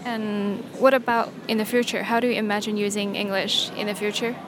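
A woman's voice: mid-range voice, little pitch movement, a little fast. A woman interviewing, asking prompting follow-up questions. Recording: noticeable background noise.

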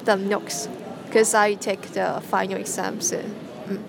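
A young woman with a Hong Kong accent speaks English as a second language, her first tongue Cantonese. She answers slowly and tentatively, humming and restarting often. Clear noise hums behind her voice. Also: mid-range voice, flat delivery. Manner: hesitant, many restarts and hums. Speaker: interviewee, student. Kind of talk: casual interview answers. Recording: noticeable background noise.

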